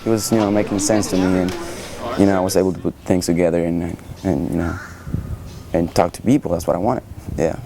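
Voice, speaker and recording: A man's voice: deep voice, fairly even intonation, fairly quick, creaky, crowd noise. A young man from Cuba with a Cuban Spanish accent, a student interviewed, speaking hesitantly with many fillers. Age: young adult.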